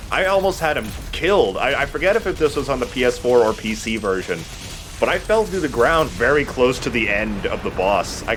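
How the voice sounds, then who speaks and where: A man's voice: medium pitch, fairly bright tone, slight background noise. A man giving casual, rambling and jokey commentary as he hosts a video game playthrough. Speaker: let's play host.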